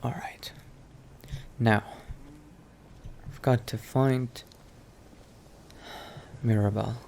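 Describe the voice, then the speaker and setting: Slow-talking man murmuring through a roleplay. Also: medium pitch, steady tone, vocal fry, a little mellow, slight background noise. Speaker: player roleplaying a vampire Dark Elf character. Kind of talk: in-character roleplay narration of a video game.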